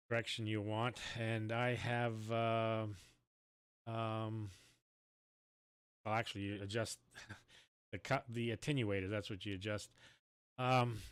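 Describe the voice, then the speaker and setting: Male ham radio operator, clear resonant voice, dry close sound, rambling casual delivery. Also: mid-range voice, dry recording.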